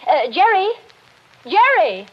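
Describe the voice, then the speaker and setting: An actress playing a brittle, jealous middle-aged society wife, arch and nervy, in old-time radio drama style. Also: female voice, very high voice, big pitch swings, takes it slowly, heavily acted. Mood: calling out. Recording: dull, noisy vintage radio recording.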